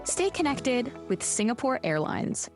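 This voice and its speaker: A woman's voice: fairly high pitch, big pitch swings, unhurried. A woman delivering scripted promotional narration as an announcer for an airline video. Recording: loud music under the voice. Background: music.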